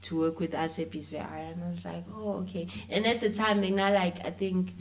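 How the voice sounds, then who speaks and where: Woman, South African accent, slightly low pitch, animated, informal, conversational interview guest, code-switching. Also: fairly quick. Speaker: interview guest, filmmaker and content creator.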